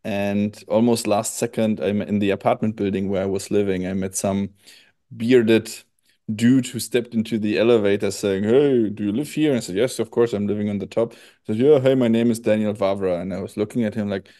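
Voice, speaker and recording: A man in his thirties with a German accent talks over a video call with a somewhat low voice. He answers at ease but hesitantly, with many fillers. He wears a headset in a small room. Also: steady tone, brisk, warm tone. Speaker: studio PR manager. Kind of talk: casual interview answers.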